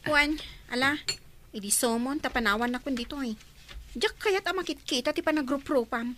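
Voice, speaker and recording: A woman's voice: high-pitched, moderate pace, fairly bright tone, a little hiss or hum. A woman playing a spoiled, resentful, disdainful schoolgirl daughter in an Ilocano radio drama. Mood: hostile. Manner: snappish, disdainful.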